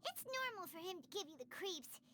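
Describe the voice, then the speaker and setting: A tiny childlike game sidekick with an extremely high, squeaky voice. It is bright and very animated, skittish yet outspoken. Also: female voice, fairly quick, a little hiss or hum, full character voice. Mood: worried. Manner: expressive, chattering, refers to itself by name. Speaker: video game voice actor.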